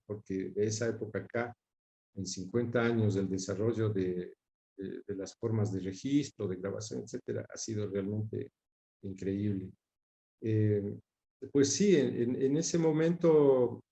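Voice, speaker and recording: A man in his sixties with a Quito, Ecuadorian accent, talking reflectively as an interview guest, measured, pausing and repeating words as he searches for them. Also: fairly low pitch, vocal fry, warm tone, dull audio. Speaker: interview guest recalling his father's career.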